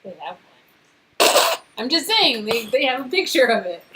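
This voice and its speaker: A woman's voice: on the high side, big pitch swings, unhurried, heavy background noise. A woman chatting casually and playfully as she reacts to a story game she is playing with a co-host. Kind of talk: casual banter during a game playthrough.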